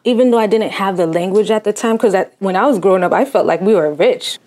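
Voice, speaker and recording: A woman's voice: medium pitch, fast, darkish tone. A young woman from Massachusetts whose first language is Haitian Creole, speaking reflectively as an interview guest, with frequent fillers. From Cambridge, Massachusetts. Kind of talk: reflective casual conversation.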